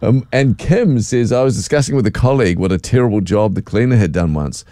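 A man's voice: lowish voice, a little fast, warm tone, dry recording, clean studio sound. A man hosting a radio show, chatting casually and reading out listener messages with a jokey manner. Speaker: radio host.

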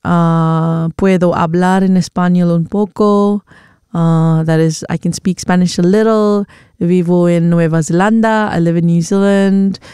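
Young female radio host, Nepali first language, very crisp clean voice, dry studio sound, rambly and hesitant. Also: fairly low pitch, steady tone, mellow, no echo. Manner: chatty, hesitant, with many ums and quick laughs. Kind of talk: casual chat mixing English and Hindi.

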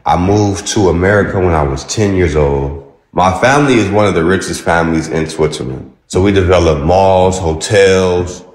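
A man with a very low voice talks slowly and boastfully about himself. The clip sounds roomy, with a noticeable echo around him. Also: darkish tone. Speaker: man in an archive video clip. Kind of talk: boastful self-description.

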